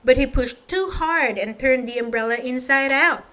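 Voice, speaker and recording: A woman's voice: high-pitched, normal speed, a bit bright. A woman reading a children's story aloud, narrating and speaking directly to young listeners.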